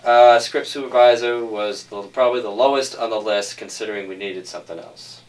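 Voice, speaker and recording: Low-voiced man in an interview. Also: steady tone, brisk. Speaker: screenwriter being interviewed. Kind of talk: interview answers. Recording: slightly distant mic with background noise.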